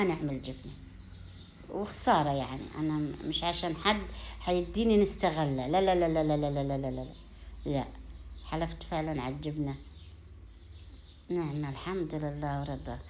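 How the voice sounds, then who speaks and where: A woman's voice: deep voice, quick pace, long pauses, a little mellow, a little hiss or hum. A woman with an Upper Egyptian Sa'idi accent chatting casually to her viewers in a home vlog, in a folksy way full of blessings and endearments. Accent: Upper Egyptian (Sa'idi) Arabic. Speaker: home vlogger.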